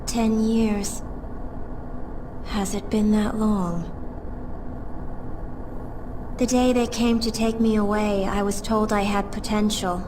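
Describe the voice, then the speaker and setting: A woman's voice: mid-range voice, close to an everyday voice. A woman voicing a cold, bitter nineteen-year-old soldier in a video game, speaking in a formal, controlled and menacing way. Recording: loud music behind the voice. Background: loud cinematic game music.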